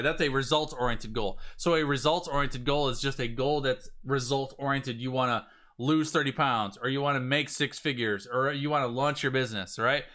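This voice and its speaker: A man, slightly raspy today, coaching viewers on a live stream in an energetic way, often restarting his phrases. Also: mid-range voice. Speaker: business coach.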